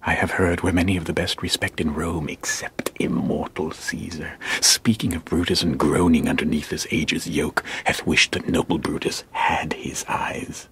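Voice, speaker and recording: An actor playing a persuasive, scheming Roman plotter in a classical radio drama, with coaxing, insinuating delivery. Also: male voice, very deep voice, steady tone, normal speed, few pauses, a bit bright, in a whisper.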